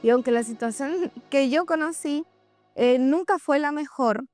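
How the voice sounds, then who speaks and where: A woman shares a reflective personal monologue, speaking candidly and straight to her listeners. Her voice sits a little high, and music plays faintly behind her. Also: a little slow, darkish tone, no room sound. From Venezuela. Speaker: host of a personal podcast or vlog. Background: background music.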